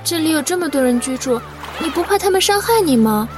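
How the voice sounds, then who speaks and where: A woman's voice: sits high, expressive, brisk, music in the background. A woman playing an innocent, tender young girl in love in an audio drama, heard from a distant mic. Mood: earnest.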